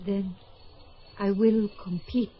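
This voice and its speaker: A woman's voice: medium pitch, fairly even intonation, takes it slowly, a little hiss or hum, lightly acted. A woman acting a determined young Russian skater in love in an old radio drama. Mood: tender. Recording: dull old radio recording.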